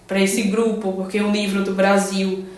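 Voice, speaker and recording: A woman's voice: medium pitch, little pitch movement, moderate pace, a little mellow. Hesitant Brazilian woman in echoey room. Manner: hesitant, many restarts and tag questions. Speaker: Portuguese heritage-language teacher in Germany. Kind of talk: casual podcast conversation. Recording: very echoey room, faint noise.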